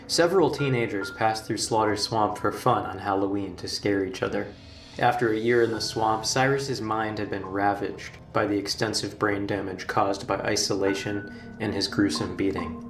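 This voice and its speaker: A man narrating a scripted horror documentary in a measured, formal storytelling manner. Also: fairly low pitch. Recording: roomy, distant playback with music. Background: background music.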